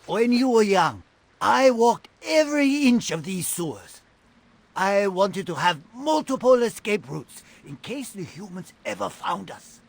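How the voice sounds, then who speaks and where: Raspy, animated, fretful rat dad. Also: male voice, high-pitched, unhurried, no echo, full character voice. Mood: solemn, protective. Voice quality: raspy, gravelly. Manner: animated, fretful, dryly sarcastic. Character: fussy, protective middle-aged rat father of turtle sons. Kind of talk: animated video game cutscene acting.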